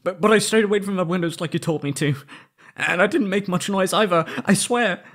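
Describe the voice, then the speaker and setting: A man's voice: sits high, a little fast, a bit bright. A man playing a timid, frightened young male captive in an ASMR roleplay drama, stammering nervously and hesitating. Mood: anxious. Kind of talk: ASMR roleplay audio drama, intimate monologue.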